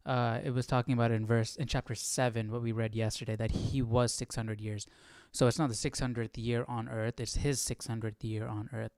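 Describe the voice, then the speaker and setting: A man hosting a live study stream in casual chat, reading aloud and thinking out loud with informal, slangy questions. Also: mid-range voice, level tone, brisk, hardly any pauses.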